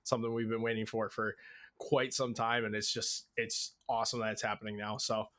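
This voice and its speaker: A man's voice: on the low side, flat delivery, fairly quick, fairly bright tone. Easygoing young man from Connecticut. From Connecticut, USA. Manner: easygoing, many "um"s and "you know"s, laughs at himself. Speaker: podcast guest, drummer. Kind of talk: casual interview answers.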